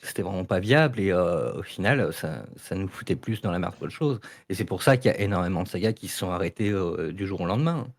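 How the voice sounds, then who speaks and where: A man with a fairly low voice argues in a casual, unscripted debate. He is emphatic and informal, doubling back on his words as he builds his point. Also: animated, brisk, hardly any pauses, no room sound, studio quality. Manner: informal, emphatic, repeats words and restarts often. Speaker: podcast debate participant, audio fiction creator.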